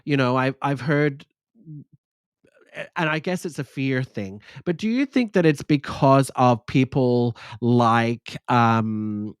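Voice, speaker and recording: A man's voice: mid-range voice, slow, clean tone, no echo. A man in his forties hosts a casual chat, speaking earnestly and reflectively with many agreeing fillers. His voice sits mid-range, and the sound is dry and close, with little room around it. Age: 40s. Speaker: podcast host.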